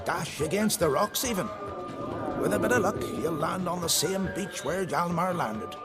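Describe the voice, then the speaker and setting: An actor playing a grumpy, boastful old seafaring islander in a video game, blustering and long-winded. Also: male voice, sits high, normal speed, over loud music, lightly acted. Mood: grumpy, scornful.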